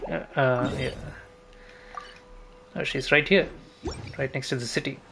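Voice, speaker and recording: A man's voice: medium pitch, steady tone, measured pace, creaky, background noise. A man giving casual gameplay commentary, thinking aloud and muttering to himself as he plans his next moves. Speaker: streamer playing a video game. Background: game music.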